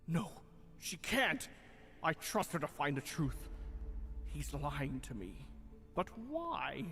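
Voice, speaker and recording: A man's voice: a little high, very animated, slow, fairly bright tone, soft background music, heavily acted. A man voicing a proud, lovelorn chimera beast held prisoner in a cartoon, speaking in a wounded, earnest, dramatic way. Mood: disbelieving. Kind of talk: animated fan drama acting.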